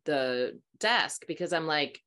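A woman in her forties with a slightly low voice tells a story in a lively, chatty way over a video call. She speaks from a small room at home into a webcam mic and slips into voices as she retells what people said. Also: slow pace. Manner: animated, chatty, acts out voices in her stories. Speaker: host, sound healing practitioner.

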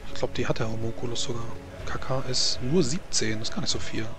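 A man acts as game master for a tabletop role-playing session, with an average-pitched voice. He talks casually and a bit haltingly, working things out aloud. Music plays clearly behind him. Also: fairly bright tone.

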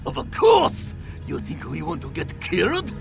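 Boastful, cowardly ninja guard for a game: Japanese accent, extremely gravelly, slow and exaggerated. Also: male voice, fairly high pitch, wildly swooping pitch, faint music behind, heavily acted. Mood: alarmed. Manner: broken English, exaggerated delivery. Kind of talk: video game cutscene acting.

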